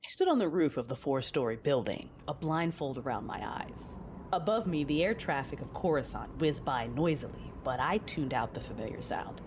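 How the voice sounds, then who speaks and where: A woman's voice: extremely low pitch, expressive, moderate pace, noisy background. A woman playing an intense, brooding young Jedi who narrates her own story as a dramatic audiobook. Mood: reflective.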